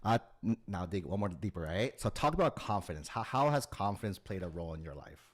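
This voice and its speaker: A man with a fairly low voice coaches an interviewee, digging one layer deeper with each question. He talks casually and loosely, often checking in with "right?" as he goes. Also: very animated, brisk. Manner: probing, repeats "right?" and "as well too". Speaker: admissions coach.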